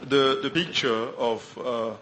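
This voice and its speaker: A middle-aged man from Africa whose first language is French, preaching a sermon as a visiting pastor, talking quickly with many fillers and restarts. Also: mid-range voice, sharp tone, slight background noise. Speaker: guest pastor.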